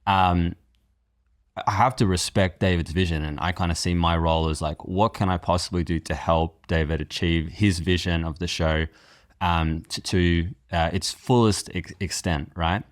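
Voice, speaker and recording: Male script producer, deep voice, dry close sound. Earnest, reflective podcast talk, thinking aloud through questions. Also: steady tone, normal speed, no echo. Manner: earnest, explains by posing rhetorical questions. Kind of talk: reflective behind-the-scenes podcast conversation.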